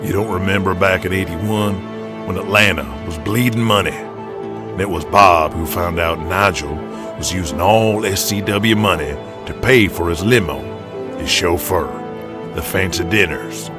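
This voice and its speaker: A low-voiced, gloating troublemaker of a wrestling promoter. He talks bluntly and casually, needling everyone at the table over a music bed in an audio drama. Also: measured pace. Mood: scornful, goading.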